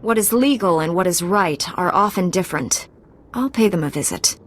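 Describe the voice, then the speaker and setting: An actress playing a Jedi knight in a video game, calm, resolute and terse. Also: female voice, fairly low pitch, normal speed. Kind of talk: game cutscene acting.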